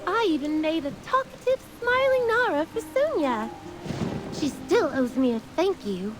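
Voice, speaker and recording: A very high, mischievous game fairy, teasing and theatrical in every phrase. Music plays clearly behind the voice. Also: female voice, sing-song, unhurried, exaggerated voice, low-quality recording. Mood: smug, playful. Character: playful teasing female fairy, bored and mischievous. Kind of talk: scripted game voice acting.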